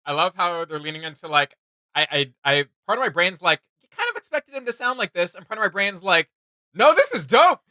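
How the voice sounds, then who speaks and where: A man's voice: sits high, very animated, fairly quick, pushed and bright, no echo. A man hosting a reaction video, chatting casually and with enthusiasm.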